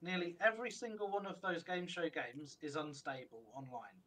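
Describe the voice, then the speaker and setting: A man's voice: a little high, steady tone, moderate pace, pushed and bright. A man, co-hosting a gaming podcast in rambling, opinionated casual chat. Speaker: podcast co-host.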